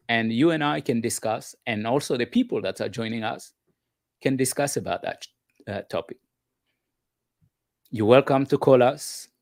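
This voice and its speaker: A man hosting a live relationship show, welcoming viewers and guests in a slow, deliberate way and often repeating himself. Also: medium pitch, no echo, clean studio sound. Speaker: host of a call-in relationship show.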